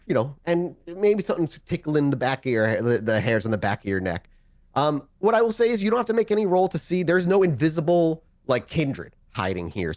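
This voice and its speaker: A man's voice: medium pitch, a little mellow. Casual man narrating a tabletop game. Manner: casual, profane, conversational. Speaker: game master.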